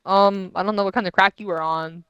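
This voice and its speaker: A woman chatting casually while playing a game together, playful and teasing, quick to laugh. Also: medium pitch, steady tone, fairly bright tone, clean tone, no echo, highs cut off. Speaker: co-player.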